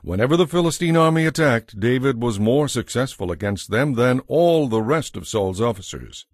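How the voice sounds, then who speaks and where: A man narrating a dramatized Bible story from a script in a measured, expressive storytelling manner. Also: medium pitch, dry recording, studio quality.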